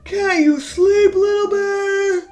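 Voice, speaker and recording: A woman's voice: extremely high pitch, a little mellow, faint music behind, voice pushed up. A woman voicing a big grown male bear from a storybook, a patient and weary caregiver. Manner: patient, drawn-out questions and reassurances. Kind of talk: storybook character read-aloud.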